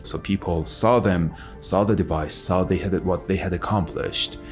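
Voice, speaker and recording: Deep voiced guy, researcher talking in an interview, reflective, some music behind. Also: steady tone, normal speed. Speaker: university researcher and mentor.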